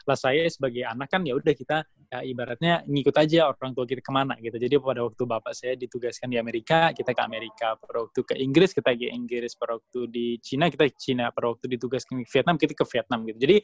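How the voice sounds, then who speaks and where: A man's voice: medium pitch, fast, no room sound. A young man from Indonesia, a guest in an interview, explaining at length with many fillers and restarts. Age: young adult. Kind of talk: casual interview talk.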